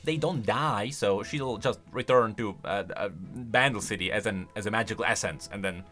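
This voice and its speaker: A man giving casual reaction commentary as a video host, enthusiastic and halting as he thinks aloud. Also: lowish voice, bright, forceful tone, soft background music.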